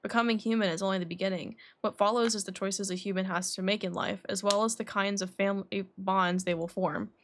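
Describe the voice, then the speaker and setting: A woman voicing a composed, scholarly young male alchemist from a video game, reading his dialogue in a measured, formal, reflective way. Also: medium pitch, steady tone, slight background noise, close to an everyday voice.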